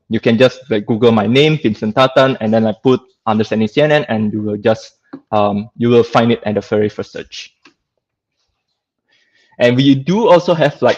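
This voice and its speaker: A man's voice: medium pitch, darkish tone, highs cut off. A man with a Singaporean accent gives an informal online technical lecture as a guest speaker, talking conversationally and often checking in with "right?".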